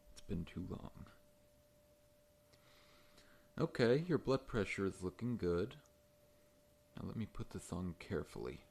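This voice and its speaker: A man playing a large, friendly scorpion monster dentist in an ASMR roleplay, talking in a gentle, reassuring, professional way. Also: low voice, expressive, normal speed, creaky, husky, full character voice.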